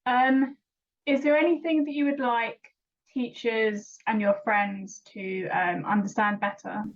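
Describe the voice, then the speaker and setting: A woman's voice: on the high side, steady tone, a little slow. A young adult woman interviewing a guest in an encouraging tone. She stammers, repeats words and uses many fillers. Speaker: interviewer and regular vlogger. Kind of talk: supportive interview chat. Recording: dull lo-fi recording.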